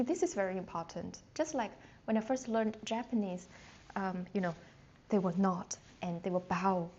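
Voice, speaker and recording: Native Chinese woman, chatty live-stream teacher, filler-heavy, mid pitch, slightly muffled take. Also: darkish tone. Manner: chatty, many fillers and restarts. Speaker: language teacher. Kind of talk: live-streamed casual lesson with chat questions. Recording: slightly dull sound with faint noise.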